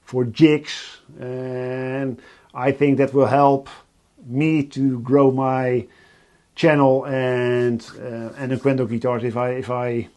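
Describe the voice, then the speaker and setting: Dutch-accented man hosting a workshop stream; unhurried, halting, mid-pitched. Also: little pitch movement, mellow. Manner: halting, many fillers and restarts. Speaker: guitar builder hosting a live stream. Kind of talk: casual live-stream chat. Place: home workshop.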